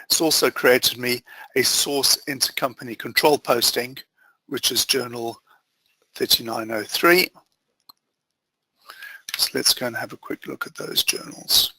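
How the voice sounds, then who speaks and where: A man presenting an instructional software demo as a trainer, explaining methodically step by step in short phrases. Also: medium pitch, measured pace, pauses often, no echo, rough recording. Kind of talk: instructional screen-share walkthrough.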